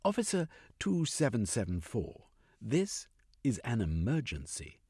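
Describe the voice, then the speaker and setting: A man voicing a hot-tempered gentleman adventurer who talks in an irritable, blustering way. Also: mid-range voice, wildly swooping pitch, a little slow, husky, no echo, clean studio sound, pitched above the performer's own voice, heavily acted. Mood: irritated.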